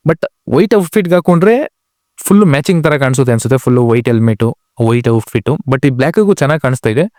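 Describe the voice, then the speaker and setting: A man's voice: mid-range voice, animated, fast, a little mellow, no room sound, studio quality. A man giving casual game update commentary as a channel host, talking informally and directly to viewers with English words mixed in.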